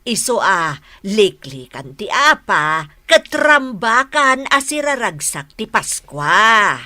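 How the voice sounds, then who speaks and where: A kindly, peacemaking elder neighbor in a radio drama. She speaks slowly in a bright, fairly high voice, close and dry, coaxing and admonishing earnestly. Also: very animated, dry recording, full character voice. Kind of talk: Ilocano radio drama acting.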